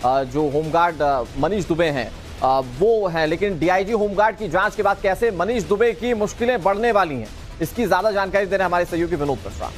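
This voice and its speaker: High-voiced male news anchor. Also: expressive, a little fast, soft background music. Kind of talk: news presenting.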